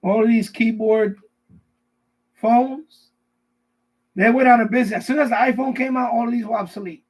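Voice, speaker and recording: A middle-aged man with a notably high voice that has a husky, rough edge. He chats casually as a live stream host, wandering from thought to thought and circling back to repeat himself. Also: fairly even intonation, normal speed, pauses often, rough recording. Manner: rambling, repeats himself often. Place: basement.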